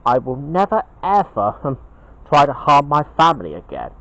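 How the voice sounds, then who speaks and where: A muffled home recording of a man as a young cartoon bear son. The voice is raised and swings widely up and down. He sounds sheepish and earnest, halting and repeating words for emphasis. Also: a little high, wildly swooping pitch, a bit bright, full character voice. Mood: solemn promising. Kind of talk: homemade cartoon puppet-style dialogue.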